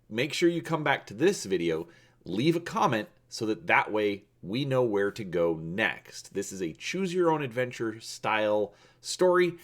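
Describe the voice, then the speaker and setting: A man hosting and dramatically reading an adventure story, deliberate and stretching words for emphasis. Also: on the low side. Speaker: video host and storybook narrator.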